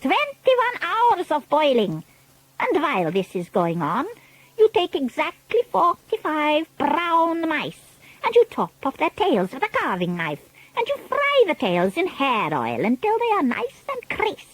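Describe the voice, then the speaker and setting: Grand old witch villain: pompous, commanding, high and lively. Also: measured pace, dry recording, exaggerated voice, poor audio. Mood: scheming. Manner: grandiose, broken grammar, commanding. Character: scheming, pompous old female witch leader. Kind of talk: storybook reading.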